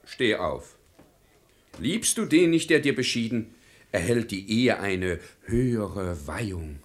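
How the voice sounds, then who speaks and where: A man's voice: on the low side, expressive, slow. A man playing a stubborn, domineering middle-aged father in a verse comedy radio play, emphatic and dismissive. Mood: stern.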